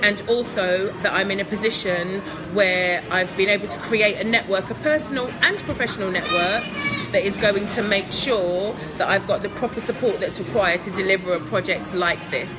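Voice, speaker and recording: A woman's voice: mid-range voice, normal speed, loud music behind the voice. A woman from England, answering interview questions in a chatty, upbeat way, laughing often and filling with ums. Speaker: guest entrepreneur.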